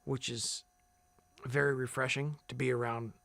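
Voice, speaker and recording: A man who sings as a contestant, answering interview questions in a relaxed, rambling way with many fillers and restarts. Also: medium pitch, normal speed, creaky, no room sound. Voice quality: big loud singing voice. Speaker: singing contestant.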